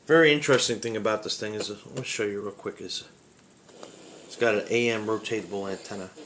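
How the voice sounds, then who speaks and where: A man's voice: lowish voice, brisk, fairly bright tone, slight background noise. Easygoing man rambling through a gadget demo. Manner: rambling, easygoing, thinking aloud. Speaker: radio collector demonstrating a radio. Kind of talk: casual product demo. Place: home room with a window. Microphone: handheld camera close to the radio.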